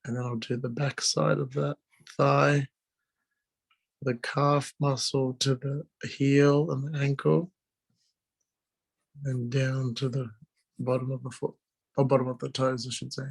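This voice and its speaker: A man with a hoarse, strained voice from being sick, informally explaining drawing step by step as a teacher on a live video call. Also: medium pitch, flat delivery, unhurried, long pauses. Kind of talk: casual live online drawing lesson, talking while drawing.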